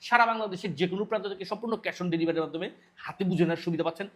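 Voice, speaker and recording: A man with a Bangladeshi accent giving a promotional sales pitch as a product presenter for an online shop. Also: very fast, a bit bright.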